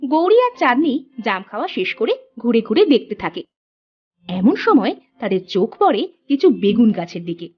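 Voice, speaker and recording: A woman's voice: a little high, big pitch swings, brisk, husky, muffled recording. A woman narrator reading a scripted folk story for a cartoon.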